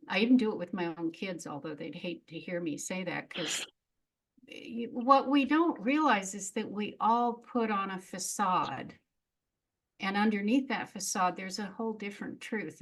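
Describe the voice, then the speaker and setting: A woman's voice: mid-range voice, moderate pace, low-quality recording. A middle-aged woman speaking as a guest in a casual interview, frank and reflective, often laughing at herself. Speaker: memoirist guest.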